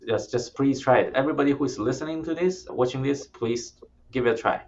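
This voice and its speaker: Gravelly non-native English-speaking man, founder. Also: medium pitch, fairly quick, darkish tone, highs cut off. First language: not English. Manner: explains plainly with hesitant restarts. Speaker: startup founder. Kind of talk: interview conversation.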